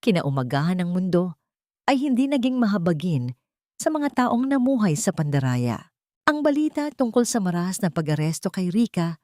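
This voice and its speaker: A woman narrating a scripted drama story in a measured, dramatic way. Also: fairly low pitch, darkish tone, dry recording, clean studio sound.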